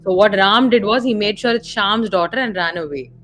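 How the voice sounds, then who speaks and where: A woman's voice: mid-range voice, fairly quick, a bit bright, slight background noise. A woman with an Indian accent co-hosting a casual film discussion, explaining and clarifying points as she goes. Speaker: live stream co-host.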